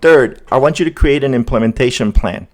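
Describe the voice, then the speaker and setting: A man's voice: mid-range voice, brisk. A man hosting a casual, conversational demo, talking viewers through each step as he goes. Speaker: host demonstrating an AI agent setup. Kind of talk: casual screen-demo narration.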